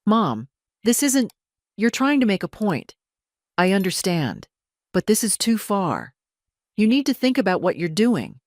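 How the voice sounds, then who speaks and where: A woman's voice: mid-range voice, sing-song, a little slow, pauses often, a little mellow, no room sound, voice pushed up. A woman voicing a shaken adult son in an audio story, uneasy and reproachful, pleading and cautioning.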